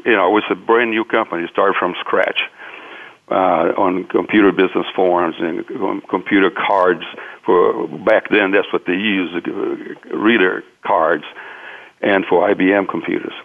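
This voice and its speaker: Deep-voiced Brazilian man on a phone line. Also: steady tone, creaky. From Minas Gerais, Brazil. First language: Portuguese. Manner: halting, hesitant phrasing. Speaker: interview guest, businessman. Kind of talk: interview conversation, recounting own career.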